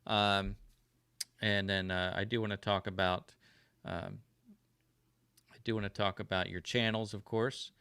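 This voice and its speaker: A man's voice: fairly low pitch, flat delivery, a little slow, pauses often, sharp tone, smooth. An American man hosting a livestream podcast, chatting casually and asking curious questions with plenty of ums and uhs. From United States. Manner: curious, asks lots of questions, filler-heavy. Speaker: podcast host.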